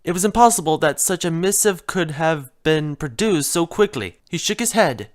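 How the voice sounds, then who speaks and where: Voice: medium pitch, lively intonation, normal speed. A narrator reading a scripted comic fan fiction story aloud.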